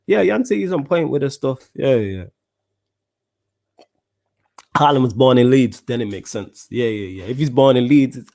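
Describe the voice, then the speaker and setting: A man's voice: fairly low pitch, darkish tone. A young man with a Mancunian accent hosting a casual football podcast, chatting in slang and answering viewer comments. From Manchester, England. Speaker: podcast host.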